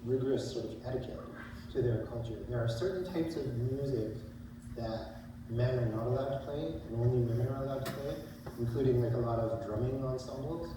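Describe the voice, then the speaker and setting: A laid-back man talks to a lecture-hall crowd about drumming, stumbling over his words and restarting often. He sounds far from the mic, his voice bouncing around a big echoey hall. A steady hiss of noise sits behind him. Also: medium pitch. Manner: easygoing, halting, restarts words, fillers. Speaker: drummer answering questions after a performance. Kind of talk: informal talk to an audience. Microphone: distant room mic.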